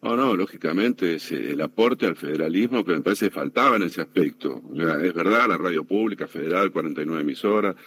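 A man's voice: low-pitched, expressive, brisk, hardly any pauses, fairly bright tone, breathy edge. A man with a Buenos Aires area Argentine accent, a public radio worker earnestly answering interview questions with fillers and restarts. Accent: Buenos Aires area Argentine Spanish.

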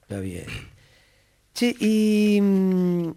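A man's voice: high-pitched, big pitch swings, drawn-out words, dark tone, clean tone. A man hosting a radio interview, asking questions conversationally and dropping in casual interjections. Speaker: radio host.

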